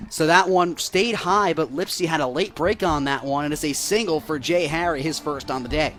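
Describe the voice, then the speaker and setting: An American man doing live baseball play-by-play on the radio, measured between pitches and lifting with excitement on plays. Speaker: play-by-play broadcaster.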